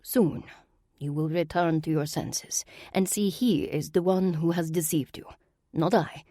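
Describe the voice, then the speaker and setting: A woman's voice: low voice, measured pace, dark tone, no room sound, clean studio sound. A woman voicing an elegant older sorceress, speaking serenely and coaxingly with a cold edge underneath. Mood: silky menace.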